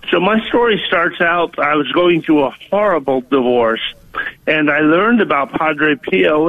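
A man's voice: a little high, dry recording. A man calling in to a radio show, telling a personal story with many hesitations and ums. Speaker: caller. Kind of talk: call-in testimony. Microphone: telephone. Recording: tinny phone line.

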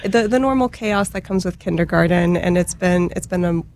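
A woman's voice: lowish voice, moderate pace, darkish tone, a little hiss or hum. A young adult woman, chatting casually as an interview guest in a hesitant, enthusiastic way full of fillers. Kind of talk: casual chat.

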